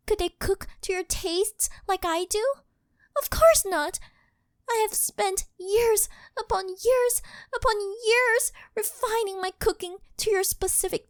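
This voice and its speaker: A woman's voice: very high voice, no room sound, studio quality. A woman voicing a possessive, worshipful living doll maid in an intimate ASMR roleplay, speaking in a formal, fawning, melodramatic way. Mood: jealous, disgusted. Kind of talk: scripted ASMR roleplay, intimate monologue to the listener.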